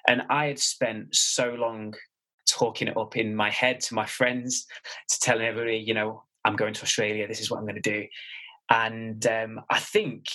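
A man's voice: on the low side, flat delivery, moderate pace, pushed and bright, low-quality recording. A man with a Manchester accent in his late twenties, a personal trainer talking as a podcast guest, rambling with many restarts and fillers. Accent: Mancunian. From Manchester, England. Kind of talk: casual interview chat.

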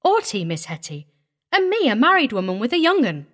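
A woman's voice: on the high side, wildly swooping pitch, fairly quick, a bit bright, pitched above the performer's own voice, exaggerated voice. A woman voicing a simple, devoted young mountain wife in a storybook, earnest and prim, with a rural Southern US accent. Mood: prim, proud.

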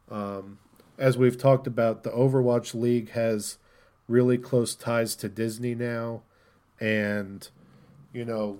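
A man hosting a casual gaming podcast, talking in an opinionated way and thinking out loud as he goes. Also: medium pitch. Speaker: podcast host.

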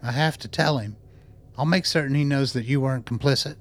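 An actor playing a composed, principled doctor in a radio drama, speaking in a measured, clinical, matter-of-fact way. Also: male voice, mid-range voice, lightly acted. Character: composed, principled male doctor running a secret lab.

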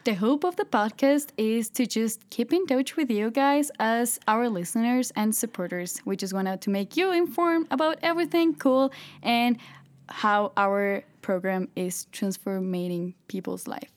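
Keep it friendly and lively, a young woman co-hosting, voice a little high. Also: unhurried. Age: 20s. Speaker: intern and co-host. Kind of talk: casual podcast chat.

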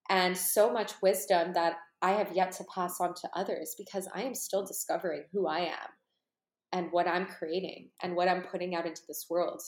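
A woman's voice: fairly low pitch, a little mellow. Earnest, rambling woman in a roomy space. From Canada. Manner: earnest, rambling, heavy with fillers like "you know" and "like". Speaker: life coach and retreat host. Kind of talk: personal monologue to followers. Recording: roomy echo.

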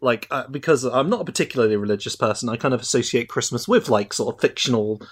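A man's voice: medium pitch, fast, breathy edge. A man chatting casually as a podcast panelist, informal and a little tentative in how he phrases things. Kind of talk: casual chat.

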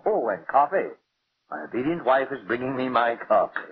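A man's voice: medium pitch, very animated, fairly quick, close to an everyday voice. A man playing a bitter, ailing husband who mocks his wife with pompous sarcasm in 1940s radio acting. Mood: sardonic. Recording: tinny, noisy vintage 1940s radio recording.

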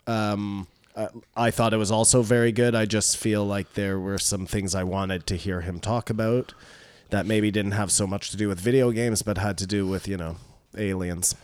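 A man's voice: lowish voice, steady tone, normal speed, a little mellow, smooth, no echo. A man co-hosting a podcast, chatting casually with a wry, teasing manner. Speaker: podcast co-host. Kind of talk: casual chat.

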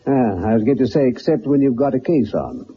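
An actor playing a genial, loyal gentleman with an English accent, well-spoken and literary, in the style of 1960s radio drama. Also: medium pitch, expressive, brisk, lightly acted. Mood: jovial, teasing. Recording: muffled, noisy 1960s radio recording.

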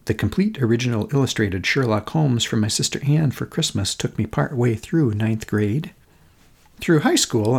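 A middle-aged American man narrates a scripted personal essay. His voice sits at a medium pitch. He tells it in a measured, literary way, with deliberate phrasing. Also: gravelly, a little mellow, breathy edge. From Minnesota, United States. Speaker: podcast host.

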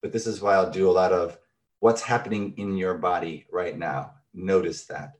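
A man's voice: low voice, steady tone, normal speed. A middle-aged man, a therapist, explaining concepts in a thoughtful, conversational way with everyday examples.

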